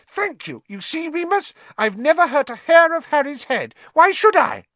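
A man voicing a cowering middle-aged male wizard with a shrill, squealing voice and a panicky, pleading manner. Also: sing-song, normal speed, fairly bright tone, dry recording, exaggerated voice. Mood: eager.

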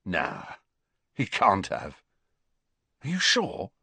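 A man's voice: sits low, huge pitch swings, drawn-out words, vocal fry, pushed and bright, breathy edge, no echo, heavily acted. A man playing a grumpy, naive teenage boy wizard in a storybook, blunt and grumbling. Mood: earnest.

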